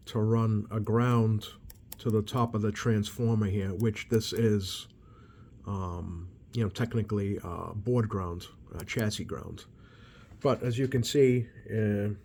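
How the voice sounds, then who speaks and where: A man giving unscripted, hands-on repair commentary as a technician, with halting, hesitant phrasing and trailing thoughts. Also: on the low side. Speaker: CB radio repair technician and channel host. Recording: steady background noise.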